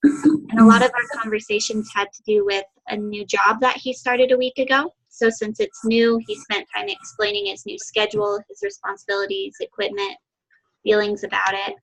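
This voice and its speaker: A young woman giving a class presentation over a video call, with a high voice and a slight lisp. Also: moderate pace, vocal fry, a bit bright, poor audio. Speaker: student presenter.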